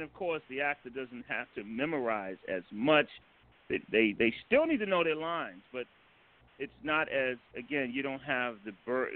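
A man speaks at a middling pitch over a thin, phone-like line. He explains in a casual interview style, halting as he goes and chuckling here and there. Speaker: guest, stage and film director. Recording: narrow phone-line sound.

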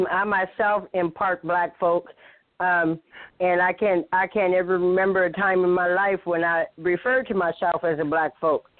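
A polite, upbeat caller joins a talk show through a thin, tinny phone line. The voice sits at a middle pitch. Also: fairly even intonation, moderate pace, no echo. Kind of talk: call-in chat.

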